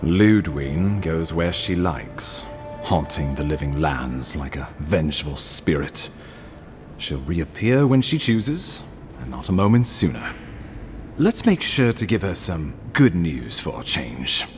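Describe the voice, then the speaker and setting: An actor playing a grave, courtly imperial ambassador in a video game, speaking formally and deliberately. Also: male voice, very deep voice, big pitch swings, soft background music, exaggerated voice. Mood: grave, earnest. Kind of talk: scripted video game dialogue.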